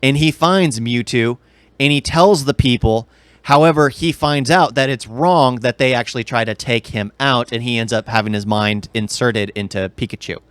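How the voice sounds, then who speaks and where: Smooth-voiced man in casual podcast chat. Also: medium pitch, moderate pace, fairly bright tone, no room sound. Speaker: podcast co-host.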